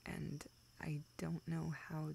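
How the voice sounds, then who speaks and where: A young woman playing a self-deprecating, vulnerable friend in an intimate audio roleplay, speaking in halting, self-interrupting dialogue. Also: low-pitched, completely flat delivery, gravelly, dark tone, slight background noise, exaggerated voice. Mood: subdued, dejected. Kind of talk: intimate, naturalistic one-sided dialogue.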